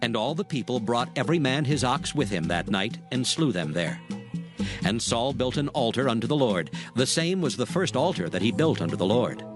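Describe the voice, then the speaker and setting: A man's voice: mid-range voice, big pitch swings, moderate pace, music in the background. A man narrating a dramatized scripture recording as a solemn storyteller.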